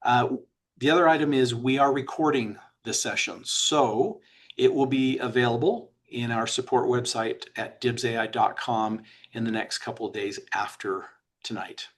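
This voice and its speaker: A man's voice: medium pitch, normal speed. Guy hosting a webinar, upbeat and chatty, ums here and there, call mic. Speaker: webinar host.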